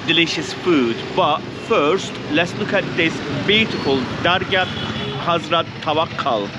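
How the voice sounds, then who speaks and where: A man from Turkey chatting in a relaxed way while vlogging on a busy city street. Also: on the high side, unhurried. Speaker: travel vlogger. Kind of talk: casual travel vlog chat. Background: loud traffic noise.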